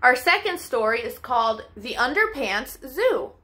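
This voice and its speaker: A woman's voice: a little high, a little slow. A woman in her twenties reading a children's picture book expressively as a storytime host. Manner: animated, clearly paced for children. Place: living room, indoors.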